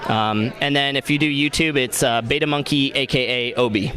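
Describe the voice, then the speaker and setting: A man's voice: mid-range voice, normal speed, pushed and bright, some chatter behind. A young adult man who works as a DJ, talking casually as an interview guest.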